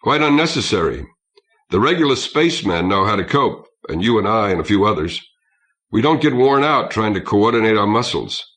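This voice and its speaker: A man's voice: fairly low pitch, expressive, brisk, fairly bright tone. A man voicing a weary, grave male starship officer in an audiobook, speaking in a clipped, matter-of-fact way. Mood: brisk, matter-of-fact.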